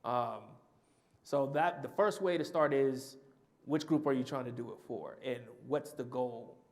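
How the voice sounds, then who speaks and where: Panelist, male, mid-pitch, off-the-cuff answer that restarts and circles back. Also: measured pace, darkish tone. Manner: conversational, restarts and repeats himself. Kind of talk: answering audience question.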